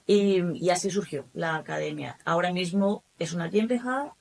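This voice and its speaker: A Spanish woman in her thirties, guest on an interview podcast, tells her story with lots of asides and quick turns. Her voice sits on the lower side for a woman, and her Spanish accent is clear. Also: a little hiss or hum. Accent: Spanish from Spain. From Spain. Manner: lively storytelling with many asides. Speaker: guest, German teacher and academy founder. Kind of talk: casual interview.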